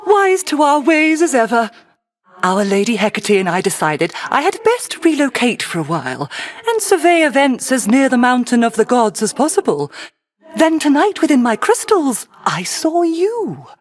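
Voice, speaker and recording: A woman's voice: high-pitched, huge pitch swings, moderate pace, exaggerated voice. An actress voicing a doting, playful witchy enchantress in a video game, with courtly, lilting, old-fashioned delivery. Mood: gentle, welcoming. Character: doting witchy island enchantress, gracious, playful, secretive hostess. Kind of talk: theatrical video game character acting.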